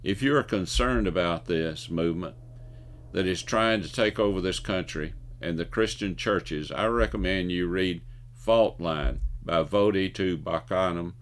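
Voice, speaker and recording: Deep-voiced folksy older man. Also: measured pace. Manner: folksy, opinionated, stumbles over words while reading. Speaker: host of a video commentary show. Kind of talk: scripted commentary with jokes and anecdotes.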